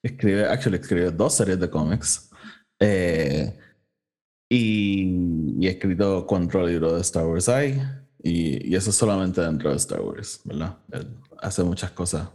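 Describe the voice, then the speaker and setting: A man's voice: deep voice, a little slow, warm tone. A man with a Puerto Rican Spanish accent hosting a podcast in casual chat, informally mixing in English words. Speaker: podcast host.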